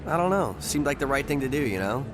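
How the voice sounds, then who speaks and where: A man acts as a young rookie policeman in a game, with a medium-pitched voice. He is chatty, eager and a little starstruck, respectful in a boyish way, with music clearly playing behind him. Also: very animated, quick pace, lightly acted. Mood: chatty, enthusiastic. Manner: chatty, boyish enthusiasm, deferential. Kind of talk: natural video game acting.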